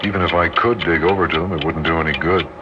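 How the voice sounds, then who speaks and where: A man playing a deep-voiced, steady father in a bunker. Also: fast, natural voice. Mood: grim, hushed. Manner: hushed, steady, reassuring. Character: father sheltering his family underground. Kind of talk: 1960s radio drama acting. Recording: dull old radio recording with heavy noise.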